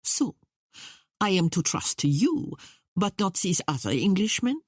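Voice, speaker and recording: An imperious middle-aged queen from a historical novel, acted out by a woman narrator. She is scornful and declarative, with a husky edge and swooping, animated pitch. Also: a little slow, no room sound, clean studio sound, exaggerated voice. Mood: mocking.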